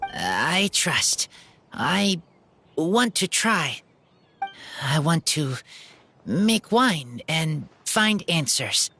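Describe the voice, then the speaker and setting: An actor playing an earnest, solemn wild boy in a video game, speaking in blunt, halting short phrases. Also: mid-range voice, big pitch swings, sharp tone, a little hiss or hum, heavily acted. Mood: earnest, determined. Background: faint music.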